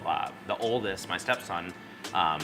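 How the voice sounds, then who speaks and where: Low-pitched male interviewer over music. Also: measured pace. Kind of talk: casual interview.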